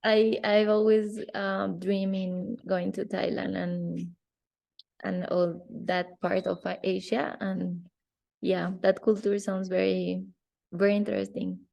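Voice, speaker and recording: A woman's voice: medium pitch, level tone, slow, gravelly, a little mellow. A woman interviewing a student, asking friendly, curious questions.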